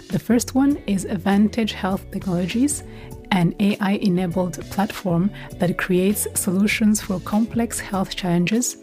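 A woman's voice: medium pitch, mellow. Female podcast host, mid-range voice, scripted presenting style, light music underneath. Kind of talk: scripted podcast introduction and interview questions.

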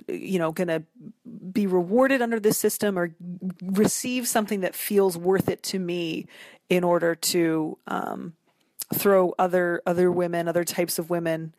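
A woman's voice: fairly low pitch, no echo. An American woman speaking as a guest in a casual, conversational interview, thoughtful and colloquial, peppering sentences with "like" and "you know". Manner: thoughtful, colloquial, frequent 'like' and 'you know'.

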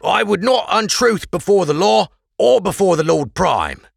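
A man voicing a frightened, pleading merchant locked in a cell, pushing his voice high and strained. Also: animated, measured pace, gravelly, breathy edge, dry recording, clean studio sound, heavily acted. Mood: insistent.

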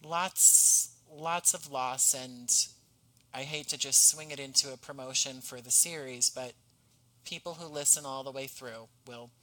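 A man's voice: medium pitch, steady tone, unhurried, bright, forceful tone, rough recording. A man speaking as a podcast guest in a conversational interview, earnest and effusive in manner. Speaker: podcast guest, audio drama writer and actor.

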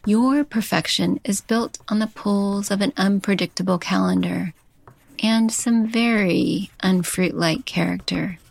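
A woman, a chef hosting a podcast, reading a poetic love letter and scripted narration in a slow, intimate way. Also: medium pitch, steady tone, creaky, dry recording.

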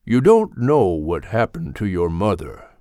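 Guy doing a wise fatherly old animal for a storybook, gentle but firm, takes his time, voice rises and sinks a lot. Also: deep voice, huge pitch swings, unhurried, no room sound, studio quality, pitched below the performer's own voice, heavily acted. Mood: firm, instructive. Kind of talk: audiobook character voice.

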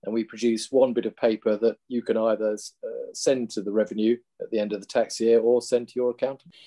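A man with a Lincolnshire accent, an investment manager explaining his work in an interview in a measured way. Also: on the low side, level tone, mellow. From England. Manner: measured, explanatory, restarts at times.

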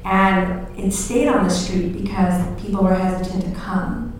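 A woman recounts her experiences in a live interview. She speaks in a measured way, pausing often with "um.". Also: mid-range voice, fairly even intonation, noisy background. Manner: measured, with pauses and fillers. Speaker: co-host and Chabad emissary. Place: live recording before an audience. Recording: echoey room from far off.

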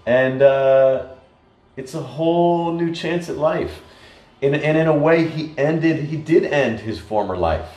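An American man in casual conversation, telling stories in an animated way with frequent restarts. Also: fairly high pitch, takes it slowly. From United States. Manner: storytelling, animated, many restarts. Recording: echoey room.

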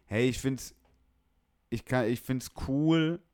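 A man's voice: mid-range voice, slow pace, darkish tone, no echo, clean studio sound. A young man from northern Germany chatting casually about music, slangy, blunt and opinionated. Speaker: music producer, podcast guest.

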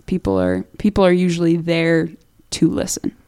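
A woman's voice: fairly low pitch, sing-song, moderate pace, mellow, clean tone. A young woman from Minnesota, a singer-songwriter chatting casually as a studio interview guest, restarting sentences and laughing at herself. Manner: chatty, restarts often, self-deprecating laughs.

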